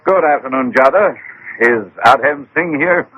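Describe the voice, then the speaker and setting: A man's voice: medium pitch, lively intonation, a little slow, pushed and bright, slightly rough, full character voice. An actor playing an earnest, trusting middle-aged American businessman in a radio drama. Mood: grateful, earnest. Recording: dull, band-limited old radio broadcast.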